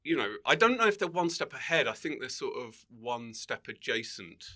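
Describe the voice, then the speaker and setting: Wry man with a bright voice. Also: mid-range voice, sing-song, moderate pace. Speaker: podcast guest, comedian. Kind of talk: casual comedy podcast chat.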